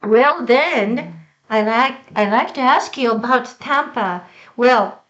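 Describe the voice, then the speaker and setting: A Japanese woman hosting an English-learning talk, asking questions in halting English and summarizing in rapid Japanese. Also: on the high side, fairly bright tone, dull audio. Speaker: host, English-learning show. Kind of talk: bilingual casual interview.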